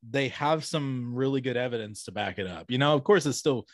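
A man's voice: mid-range voice, lively intonation, a little fast, dry recording. A middle-aged man speaking as a podcast host in a casual, enthusiastic, rambling conversation, close on a studio microphone. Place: small home room, video call. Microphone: close studio mic on boom arm.

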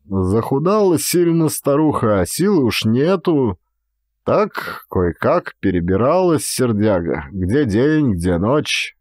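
A man's voice: medium pitch, darkish tone, dry recording, clean studio sound, voice pushed up. A man voicing a plain-spoken village coachman in an audiobook, telling things in a folksy, rustic way.

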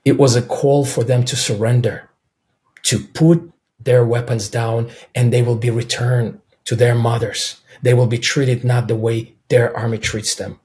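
A man in his forties from Belarus, a native Russian speaker with a Belarusian accent, answering interview questions over a video call in a measured, thoughtful way. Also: medium pitch, little pitch movement, darkish tone. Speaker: film director guest. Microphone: video call, webcam.

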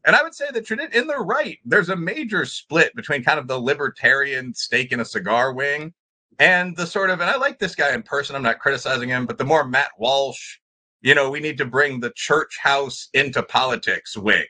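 A man's voice: medium pitch, animated, normal speed, sharp tone, poor audio. A middle-aged man on a panel video call, arguing off the cuff in an academic, discursive and emphatic manner. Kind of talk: extemporaneous panel discussion argument. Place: indoor room with bookshelves, on a video call.

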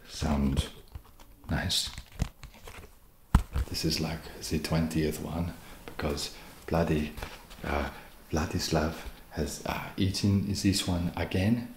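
A very low, slow-talking vampire acted by a man with a mock foreign vampire accent. He is soft-spoken, halting and awkwardly friendly, desperate to seem trendy in an ASMR comedy. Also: steady tone, echoey room, background noise. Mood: awkwardly welcoming. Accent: put-on foreign vampire accent.

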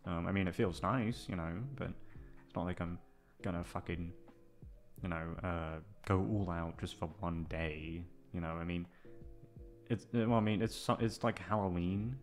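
Deep-voiced young man rambling casually. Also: fairly even intonation, normal speed. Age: 20s. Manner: self-deprecating, hesitant, freely swearing. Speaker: voice actor addressing listeners. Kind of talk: casual rambling chat to listeners. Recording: music playing behind the voice. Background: background music.

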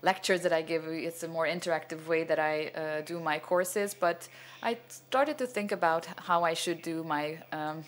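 Older man, halting lecture, fillers, stand mic on a cinema stage. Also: fairly high pitch, fairly even intonation, fairly bright tone. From Venezuela. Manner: hesitant, many fillers and restarts, gestures broadly. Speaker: cinematographer giving a masterclass. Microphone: stand microphone.

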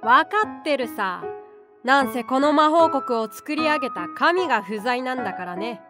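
A woman's voice: sits high, animated, moderate pace, over music. A woman voicing a small boyish unicorn guardian beast in an anime-style voice drama, speaking as a composed, knowing mentor. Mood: calm, grave.

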